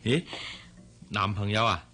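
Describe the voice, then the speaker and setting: A man's voice: lowish voice, unhurried, music in the background, close to an everyday voice. A man acting in a Cantonese radio drama with a Hong Kong accent, playing a polite married boss who is awkwardly flirtatious. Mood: curious, awkward. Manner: hesitant, polite.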